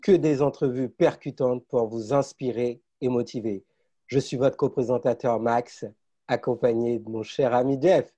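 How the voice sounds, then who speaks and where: A man's voice: mid-range voice, fairly even intonation, normal speed, warm tone, slightly rough, lo-fi sound. A man co-hosting a podcast, introducing the guest and asking questions in an upbeat way, often stumbling over repeated words. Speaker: podcast co-host. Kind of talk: hosting an interview.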